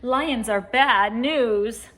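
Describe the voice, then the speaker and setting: A woman's voice: a little high, very slow, fairly bright tone. A woman voicing a nervous talking zebra, jittery, questioning and repeating itself, in a storybook reading.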